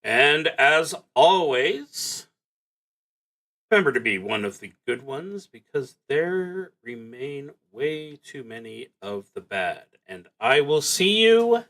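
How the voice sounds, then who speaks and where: A man hosting a solo tabletop roleplaying stream, talking casually and haltingly as he thinks aloud, with long pauses. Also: medium pitch, sing-song, sharp tone.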